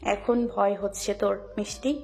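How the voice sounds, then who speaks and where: A woman playing a shy, gentle young wife and caring mother in a romantic audio drama. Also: medium pitch, steady tone, unhurried, gravelly, soft background music. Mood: gentle, reassuring. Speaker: voice actor in audio story.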